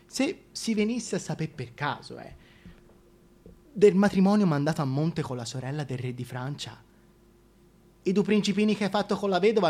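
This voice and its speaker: A man's voice: fairly high pitch, lightly acted. A man playing a scheming, chatty single mother in a comic radio monologue, with a Tuscan accent and colloquial delivery. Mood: sly, needling. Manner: gossipy colloquial storytelling. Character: chatty, scheming single mother, a shameless working-class opportunist.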